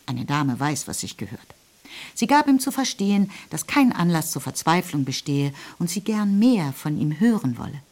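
A woman's voice: sits low, very animated, moderate pace, a little mellow. A woman giving composed, slightly wry literary narration for a German radio play. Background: music.

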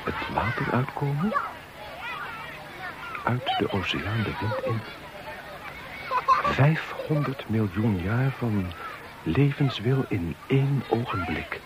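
A man in a radio play speaking a slow, brooding inner monologue. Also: medium pitch, very animated, over a noisy crowd, very noisy. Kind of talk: 1970s-style Dutch radio drama monologue.

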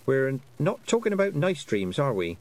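A man's voice: mid-range voice, huge pitch swings, normal speed, a little mellow, voice pushed up, low-quality recording. A man voicing an earnest, determined but anxious young girl in a storybook reading. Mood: anxious questioning.

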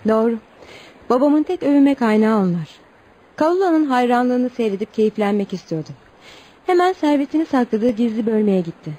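High-voiced earnest young bride-to-be. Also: female voice, expressive, moderate pace, dark tone. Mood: storytelling. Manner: earnest, theatrical storytelling. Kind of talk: Turkish radio theatre acting. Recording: noisy old radio drama recording.

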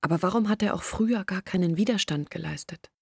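A breathy confiding village woman. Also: on the low side, quick pace, dry recording. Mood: earnest, confiding. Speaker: Olga, a village woman telling her family's story. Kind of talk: German radio play monologue.

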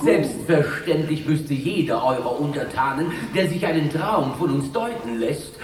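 Radio play: sharp-tongued, haughty court schemer, very animated intonation. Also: male voice, a little high, a little slow. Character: haughty, wary scheming royal councillor of a Tsar. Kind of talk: children's radio play acting.

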